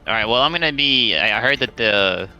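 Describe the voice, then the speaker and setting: A man's voice: mid-range voice, animated, fairly quick, pushed and bright, dull audio. A man playing a tabletop roleplaying game, chatting casually and asking questions in a hesitant way. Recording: music under the voice.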